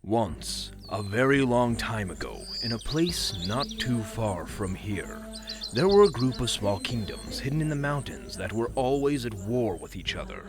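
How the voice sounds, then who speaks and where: An actor playing a good-natured, easily flustered young Viking prince who tells tales theatrically with dramatic pauses. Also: male voice, on the low side, sing-song, background noise. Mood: dramatic storytelling. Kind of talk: scripted fairy-tale storytelling. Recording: music behind the voice.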